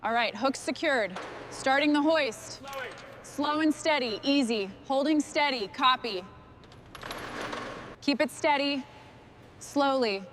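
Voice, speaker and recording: A woman plays a determined female mechanic with a fairly high voice. She talks in terse bursts, muttering to herself or directing a crew. Clear machinery noise runs behind her. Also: takes it slowly, pauses often. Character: hands-on female heavy truck restorer, gritty and determined. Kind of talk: scripted work chatter. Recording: noisy, with vehicle and machinery sounds.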